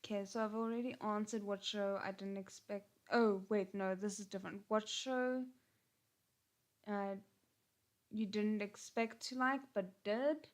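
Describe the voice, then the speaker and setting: A woman's voice: mid-range voice, steady tone, unhurried. A woman with a South African accent chatting casually on a livestream, rambling with frequent restarts and fillers. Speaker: channel host.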